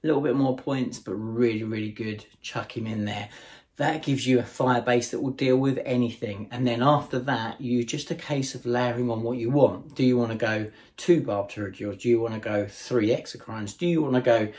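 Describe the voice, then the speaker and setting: A man with a hoarse, fading voice hosting a casual, enthusiastic tabletop wargame strategy tutorial, chatting to viewers as guys. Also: medium pitch, normal speed, darkish tone. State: hoarse, losing his voice. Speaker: tabletop wargame channel host.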